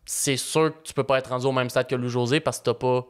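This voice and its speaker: A man's voice: medium pitch, steady tone, fast, smooth. A young adult man with a Québécois French accent, hosting a podcast and telling stories in an easygoing, informal way. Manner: easygoing storyteller, informal slang. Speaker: podcast host. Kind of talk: casual podcast chat.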